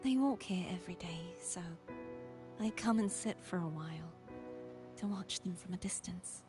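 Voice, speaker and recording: A woman playing a gentle young knight in a video game, speaking in a measured, softly formal, wistful way. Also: fairly low pitch, animated, natural voice. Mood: wistful, resigned. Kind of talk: scripted video game voice acting. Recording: game audio with music underneath. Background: music.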